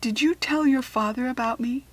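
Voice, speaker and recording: Woman reading as husky, formal alien hare. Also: on the high side, normal speed, pitched above the performer's own voice, lightly acted. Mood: curious, faintly superior. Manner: articulate, formal, self-assured. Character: talking alien hare, polite, chatty, slightly superior visitor. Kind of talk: children's storybook character reading.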